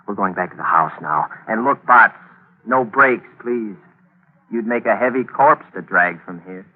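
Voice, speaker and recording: An actor playing a hard-bitten young murderer in a 1940s radio thriller, speaking in a terse, clipped way. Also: male voice, lowish voice, lively intonation, a little slow. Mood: commanding. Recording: muffled, tinny 1940s radio recording.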